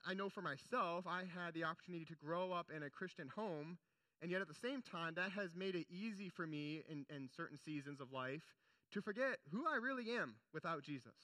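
Young man, pastor, sermon, high bright voice, dry close sound. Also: animated, a little fast, no room sound. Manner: earnest, conversational preaching with asides.

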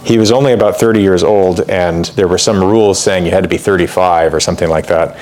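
A man's voice: sits low, fairly even intonation, fast, darkish tone, slight background noise, low-quality recording. A man teaching church history in an informal lecture, talking conversationally with fillers and asides, and reading quoted passages with more emphasis. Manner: reads quotations aloud with more emphasis.

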